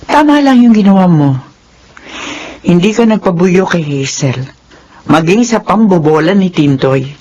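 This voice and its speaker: A woman's voice: on the low side, animated, a little slow, long pauses, mellow. A woman playing a supportive, motherly married woman in a radio drama, earnest and reassuring. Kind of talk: Filipino radio drama acting. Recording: muffled band-limited sound with background music.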